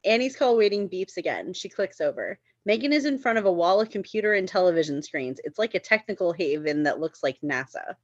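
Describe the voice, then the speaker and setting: A woman's voice: on the low side, big pitch swings, fairly quick, few pauses, no echo, lo-fi sound. A woman reading stage directions at a live script table read, informal and lightly amused.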